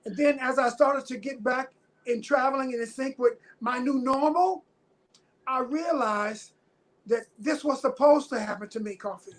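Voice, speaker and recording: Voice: sits high, moderate pace, rough recording. A guest in their fifties giving personal testimony in a casual interview, speaking earnestly and repeating phrases for emphasis. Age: 50s.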